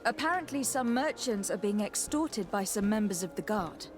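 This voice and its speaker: A woman's voice: medium pitch, lively intonation, normal speed, natural voice. A woman playing a firm, formal noble legate questioning people in a video game, in an authoritative manner. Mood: composed, formal. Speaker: game protagonist. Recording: music behind the voice.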